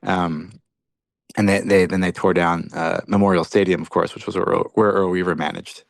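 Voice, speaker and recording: Deep-voiced man, reflective author guest. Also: little pitch movement, moderate pace, gravelly, dry recording, studio quality. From Belgium. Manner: reflective, many restarts. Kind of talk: podcast interview.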